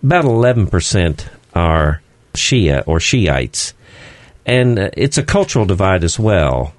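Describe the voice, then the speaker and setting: A man with a deep, low voice talks as a guest in a radio studio. He explains at an unhurried pace, pausing often on fillers as he searches for words. The sound is close and dry. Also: big pitch swings, dry recording. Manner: slow, halting, many "uh" fillers.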